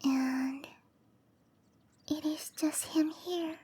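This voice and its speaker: A woman voicing a gentle, caring cherry blossom dryad girl in an ASMR roleplay, musing softly to herself in a breathy, often whispered voice. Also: high-pitched, fairly even intonation. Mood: torn, concerned. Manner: musing to herself, slow and tender.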